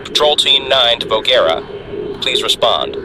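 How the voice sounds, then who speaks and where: A man voicing a male patrol commander on a warship, making loud clipped radio calls. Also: mid-range voice, expressive, measured pace, noisy background, close to an everyday voice. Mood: urgent.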